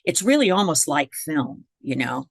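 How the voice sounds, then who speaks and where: A middle-aged woman from Miami, a jazz singer, telling her story in a relaxed interview, chatty with fillers and restarts and frequent laughs. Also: low-pitched, moderate pace, vocal fry, crowd noise. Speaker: guest jazz vocalist.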